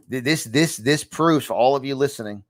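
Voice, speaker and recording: A man's voice: mid-range voice, measured pace. A man in his fifties with a New York accent, giving a motivational success lesson to camera from a small home studio, emphatic and repeating phrases for effect. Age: 50s. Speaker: success coach.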